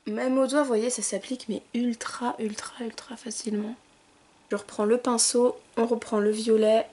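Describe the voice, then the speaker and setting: A woman's voice: fairly high pitch, little pitch movement, moderate pace, slightly rough. Gushing woman doing a makeup tutorial. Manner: gushing, chatty, trails off into soft asides. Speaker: beauty vlogger.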